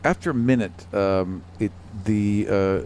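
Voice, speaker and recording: A man narrating a tabletop role-playing game as game master, halting and descriptive with casual asides. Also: lowish voice, a little mellow, a little hiss or hum.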